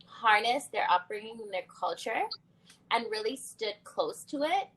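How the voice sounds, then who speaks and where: A young adult woman hosting a conversational interview podcast, animated and reflective, laughing often. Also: on the high side, unhurried, poor audio. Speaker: podcast host.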